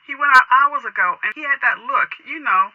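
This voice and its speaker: A woman's voice: high voice, expressive, brisk, no room sound. A woman playing an anxious mother in a radio drama, fretful and plaintive through a telephone filter. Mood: worried. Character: anxious middle-aged or older mother, fretting. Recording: dull telephone line.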